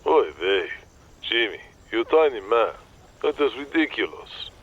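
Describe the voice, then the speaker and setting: A man's voice: medium pitch, sing-song, a little slow. A man playing a weary, skeptical Hollywood agent on a phone call in an audio drama, dry and exasperated. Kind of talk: comic audio drama acting. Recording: dull, muffled phone-call sound.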